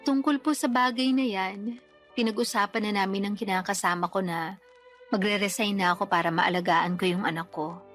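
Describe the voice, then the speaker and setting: A woman's voice: mid-range voice, steady tone, normal speed, fairly bright tone, faint music behind, lightly acted. A young woman playing a daughter and young mother in a radio drama, polite, earnest and pleading. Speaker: daughter character.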